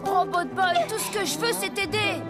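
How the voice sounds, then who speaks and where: Earnest young cartoon boy, very high voice, kind, reassuring, a bit worried, music behind. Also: normal speed. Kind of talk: animated cartoon dub. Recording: music under the voice.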